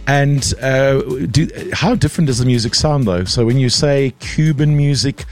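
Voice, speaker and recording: A man's voice: mid-range voice, normal speed, soft background music. A South African man hosting a casual live radio show, chatty, teasing and curious with his guests.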